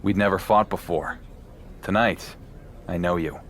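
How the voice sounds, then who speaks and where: A man's voice: low voice, measured pace, fairly bright tone. A man playing a cocky, defiant champion wrestler in a video game, confident and pointed in his talk. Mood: cocky, needling. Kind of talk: dramatic video game dialogue. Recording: faint background noise.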